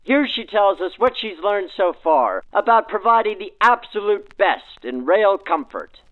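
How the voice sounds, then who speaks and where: A man's voice: high-pitched, expressive, a little slow, narrow, tinny audio. A man narrating from a script in a grand, formal 1940s radio announcer style, through a vintage radio filter. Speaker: narrator and host. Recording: band-limited 1940s-style radio sound.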